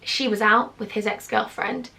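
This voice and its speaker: A woman's voice: mid-range voice, steady tone, normal speed, vocal fry, sharp tone. A young British woman telling a personal storytime on her vlog, chatty and confiding with sarcastic asides. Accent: British English. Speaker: YouTuber.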